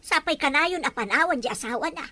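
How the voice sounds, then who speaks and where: A woman playing a sharp-tongued, gossiping older wife and mother who grumbles in an audio drama. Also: sits high, expressive, quick pace. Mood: grumbling. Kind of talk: Ilocano audio drama acting.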